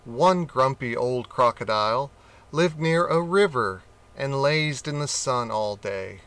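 A man reading a children's picture book aloud, slowly and expressively. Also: mid-range voice. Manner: slow, expressive reading with character voices. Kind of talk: storybook read-aloud.

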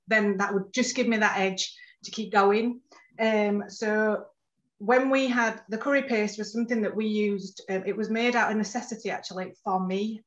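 Woman telling her story on a dull call. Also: mid-range voice. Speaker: panelist, food business founder. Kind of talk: online panel answers.

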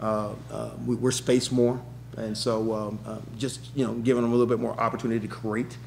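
A middle-aged man answering questions as a head coach at a press conference, with quick, emphatic answers and lots of restarts, speaking into a table mic in a press room. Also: on the low side, flat delivery, creaky, a little mellow, a little hiss or hum. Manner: rapid, repetitive restarts, emphatic.

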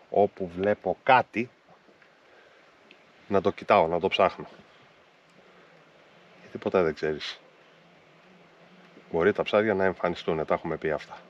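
A man in his thirties filming himself fishing from a small boat, explaining calmly to viewers what he is doing. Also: low voice, long pauses, lo-fi sound. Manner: calm, explanatory, talks while fishing. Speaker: fishing vlogger. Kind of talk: casual on-boat commentary to viewers. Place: small inflatable boat on open sea, outdoors. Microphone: action camera mounted on boat. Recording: outdoor boat noise. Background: boat and water noise.

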